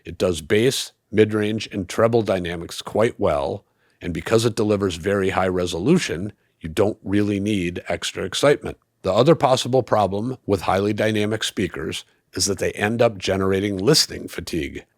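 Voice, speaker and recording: A man delivering a scripted review in a measured, analytical, explanatory way. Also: fairly low pitch, no echo, clean studio sound. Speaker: audio equipment reviewer.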